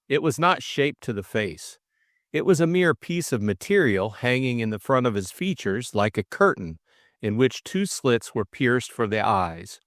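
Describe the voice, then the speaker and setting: A man's voice: medium pitch, dry recording, studio quality. A man reading a classic mystery audiobook as scripted dramatic narration.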